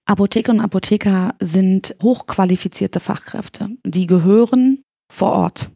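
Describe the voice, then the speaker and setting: A woman in her forties from East Berlin, answering interview questions in a measured way, pausing and searching for words now and then. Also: medium pitch, vocal fry, warm tone, husky, dry recording, studio quality. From East Berlin, Germany. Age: 40s. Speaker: candidate for pharmacists' chamber, guest. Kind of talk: podcast interview.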